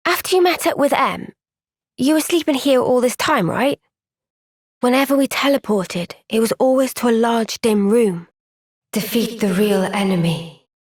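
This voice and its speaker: A woman with a British accent voicing an earnest, gentle, thoughtful young woman soldier in a video game cutscene. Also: fairly high pitch, animated, moderate pace, no room sound, clean studio sound.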